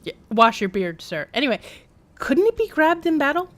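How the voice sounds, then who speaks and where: A woman's voice: sits high, very animated, normal speed. A woman giving casual, playful live commentary as a game streamer, with wry asides.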